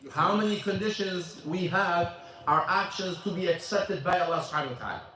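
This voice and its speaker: A high-pitched man teaches at a mosque. He talks fast, repeats himself for emphasis and fires questions at his students. His voice is caught from far off in a very echoey hall. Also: bright, forceful tone. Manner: emphatic, repeats phrases, quizzes the audience. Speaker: imam teaching a class. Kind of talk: religious lesson, switching between Arabic and English. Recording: echoey hall, mic at a distance.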